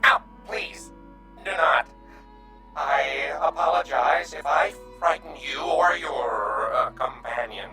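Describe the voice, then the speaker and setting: A man's voice: medium pitch, slow pace, pushed and bright, roomy sound, soft background music. A man playing a timid, courteous alien refugee in a radio drama, speaking in stilted, formal, hesitant phrases. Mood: fearful, pleading.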